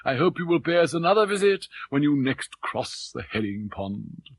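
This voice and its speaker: A man voicing an eccentric elderly father in an audiobook, mild, plaintive and gently mocking, with British Received Pronunciation. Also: fairly high pitch, big pitch swings, normal speed, no room sound, voice pushed up. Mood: gently malicious.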